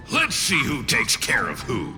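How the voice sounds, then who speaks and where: A man voicing a cocky, gruff spirit in a video game, boastful and theatrical with a gravelly voice. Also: medium pitch. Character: brash, cocky male fire spirit bound as a tail. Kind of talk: video game character acting. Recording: long reverb on voice, music behind.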